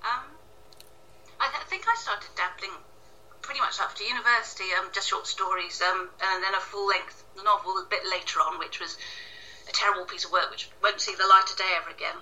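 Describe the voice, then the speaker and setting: A woman's voice: medium pitch, a little fast, sharp tone. A woman from Wales giving casual, self-deprecating interview answers as an author from her echoey kitchen. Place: home kitchen, indoors. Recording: echoey kitchen, distant tinny call sound.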